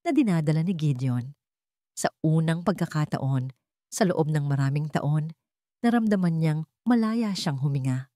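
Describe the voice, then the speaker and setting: A woman storyteller reading a scripted dramatic story in an earnest, measured way. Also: low voice, darkish tone, no echo, clean studio sound.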